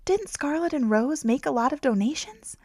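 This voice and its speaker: A woman reading a romance audiobook as its author, in a dramatic storytelling manner with hushed phrase endings. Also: high-pitched, animated, moderate pace, dry recording, clean studio sound. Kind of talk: scripted narration, romance audiobook.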